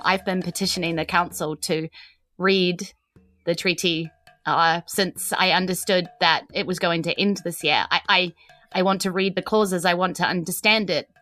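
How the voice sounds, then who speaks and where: A New Zealand woman playing an earnest, nervous but determined teenage empress in a tabletop game, speaking haltingly. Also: on the low side, bright, forceful tone. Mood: anxious, pleading.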